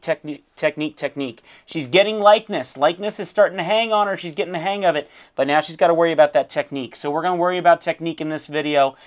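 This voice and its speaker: A hoarse man who has lost his voice, an art teacher critiquing a student's drawing in a casual, encouraging way with frequent fillers. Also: fairly high pitch. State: losing his voice.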